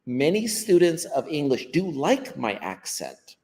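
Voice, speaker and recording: A man's voice: fairly high pitch, very animated, a little slow, a little mellow. A man with a Western American accent, a guest teacher explaining his ideas unscripted over a video call, thoughtful and often repeating words as he gathers his thoughts. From United States. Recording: long hall-like reverb.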